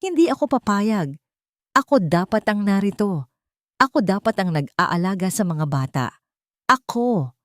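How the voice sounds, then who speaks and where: A woman's voice: mid-range voice, sing-song, unhurried, long pauses, dry recording, clean studio sound. A woman narrator with a Filipino accent voicing a jealous, scheming spurned woman, indignant and insistent. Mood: bitter, jealous.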